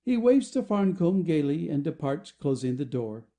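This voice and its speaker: Very dark-voiced male narrator. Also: on the high side, sing-song, normal speed. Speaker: narrator reading stage directions. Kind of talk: scripted narration of an audio play.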